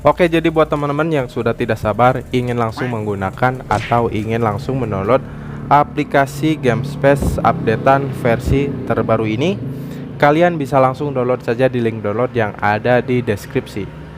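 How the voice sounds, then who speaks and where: A man's voice: medium pitch, normal speed. A man narrates an app tutorial in an explanatory way, often tagging his sentences with a short "ya". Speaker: tech tutorial YouTuber. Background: background music.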